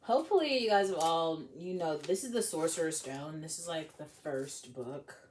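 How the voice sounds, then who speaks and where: A woman's voice: deep voice, lively intonation, normal speed, darkish tone, a little hiss or hum. A woman hosting a read-along in a casual, gossipy, slangy way, sometimes dropping into whispers as she chats and reads aloud. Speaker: host reading a book aloud.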